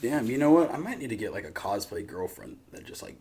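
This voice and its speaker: A man's voice: mid-range voice, sing-song, fast talker, a little mellow, slightly rough. A man hosting a reaction video, chatting casually in a rambling, informal way.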